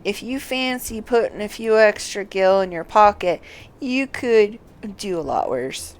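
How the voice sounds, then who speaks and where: Slow-talking fantasy tavern informant read by a woman. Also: medium pitch, lively intonation. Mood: confiding.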